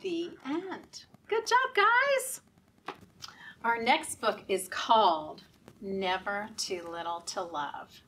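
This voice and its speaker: Slow, bright-voiced woman reading to small children. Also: mid-range voice, slight background noise. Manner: animated, interactive, asking children questions and prompting actions. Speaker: children's storytime reader at a library. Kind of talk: expressive picture-book read-aloud to young children. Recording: voice at a distance from mic.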